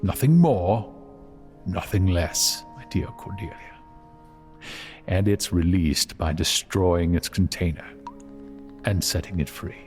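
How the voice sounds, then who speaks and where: An actor playing a grave male mentor in a fantasy audio drama, speaking in a deliberate, measured way. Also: low-pitched, a little mellow, faint music behind. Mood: solemn, measured. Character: grave male mentor explaining dragon magic.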